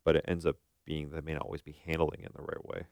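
A man's voice: rumbling low, level tone, fast, a little mellow, dry recording, clean studio sound. A man hosting a podcast in a casual conversational style, thinking aloud and often checking for agreement. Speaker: podcast host.

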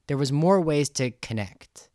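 A man's voice: a little high, animated, warm tone, dry recording. Rambling male podcast host, dry close sound. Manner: rambling, halting, thinks aloud. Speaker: podcast host interviewing a guest. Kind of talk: casual conversational podcast talk.

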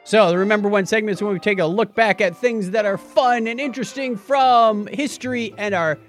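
A man's voice: sits high, brisk, soft background music. A man hosting a podcast, chatting casually and playfully about tech history. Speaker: podcast host.

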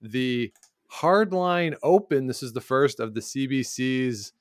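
A man's voice: mid-range voice, lively intonation, slow, no echo, studio quality. A man with a Canadian accent co-hosting a casual sports talk show and recapping results. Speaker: podcast co-host.

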